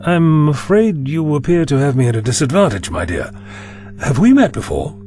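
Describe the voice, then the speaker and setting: A courteous, absent-minded time traveler in an audio drama. He speaks in a genteel, old-fashioned way, slightly high and lively in pitch. Faint music sits under the voice. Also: normal speed, vocal fry. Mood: puzzled.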